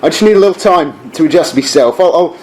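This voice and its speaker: A man performs a moody young Australian in a radio play. His voice sits a little high, and he talks bluntly in heavy slang with a bitter edge. Light noise sits behind him. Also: expressive, fast, a little mellow, natural voice. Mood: apologetic, friendly. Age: young adult.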